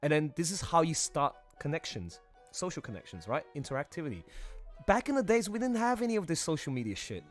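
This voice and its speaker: A man's voice: fairly high pitch, very animated, fast. A middle-aged man hosting a casual livestream chat, chatty and frank, often checking in with tag questions. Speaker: livestream host. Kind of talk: casual, candid group chat. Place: live online voice chat stream. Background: music playing behind the voice.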